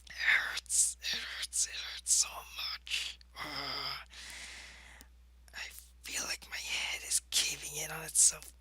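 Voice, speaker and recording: A man playing a secretive, soldierly alien changeling in an ASMR roleplay, speaking to the listener in a halting, conversational way. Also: fairly high pitch, level tone, pushed and bright, exaggerated voice. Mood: pained, pleading. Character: alien changeling spy posing as human, loyal, secretive, soldierly.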